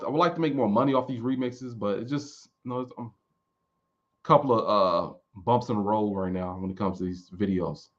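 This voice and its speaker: A man's voice: on the low side, fairly bright tone, dull audio. A man in his late thirties, a music producer chatting casually and rambling with restarts while answering viewers. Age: 30s. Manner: loose, rambling, frequent restarts. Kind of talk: casual live chat.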